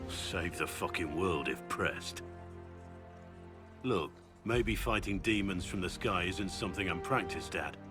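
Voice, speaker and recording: An actor playing a gruff, seasoned warrior recruiter in a fantasy game, speaking in a blunt, earnest, plain-spoken way. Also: male voice, low-pitched, measured pace. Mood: earnest, resolute. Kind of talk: dramatic fantasy game dialogue. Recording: background music under the voice.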